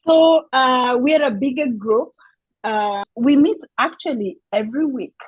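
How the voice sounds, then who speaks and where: A woman's voice: high voice, a little slow, breathy edge, no echo, lo-fi sound. A woman hosting a casual faith-based group chat, introducing friends and encouraging listeners. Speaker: host of a women's group talk.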